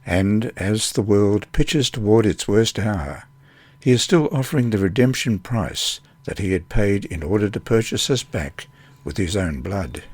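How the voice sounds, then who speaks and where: Man, husky rough-edged voice, fairly low pitch, scripted devotional reading. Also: animated, a little slow, a little mellow, no room sound. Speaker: lesson reader.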